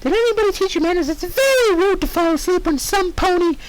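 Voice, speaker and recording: A man's voice: extremely high pitch, lively intonation, fast, pitched above the performer's own voice, rough recording. A man voicing a chirpy, manic, cruel young female cartoon pony with a pouty, scolding sing-song delivery. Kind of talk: cartoon fan fiction character reading.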